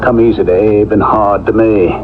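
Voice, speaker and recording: An actor playing a guilt-ridden outcast cowboy in a 1970s radio drama, grave and halting, with a rustic western drawl. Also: male voice, on the low side, fairly even intonation, natural voice. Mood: anguished. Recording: muffled old radio broadcast with loud music.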